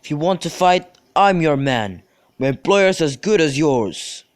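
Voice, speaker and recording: A man acting as a boastful young servant in a student radio play. His voice sits fairly high for a male, and he talks crudely, with plenty of swagger. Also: lively intonation, a little slow, dry recording. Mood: provoking. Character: Sampson, bragging, crude young male servant itching to brawl.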